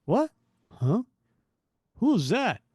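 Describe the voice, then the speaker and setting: Man putting on a rowdy high-pitched barroom thug. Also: huge pitch swings, extremely slow, darkish tone, breathy edge, no room sound, studio quality, heavily acted. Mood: mocking. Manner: mocking, boisterous. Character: rowdy, jeering male dive-bar lowlifes.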